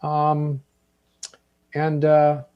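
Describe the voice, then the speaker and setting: A man speaking as a newspaper executive on a panel, thoughtful and halting, with many fillers. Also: a little high, completely flat delivery, clean tone, highs cut off. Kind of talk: panel discussion.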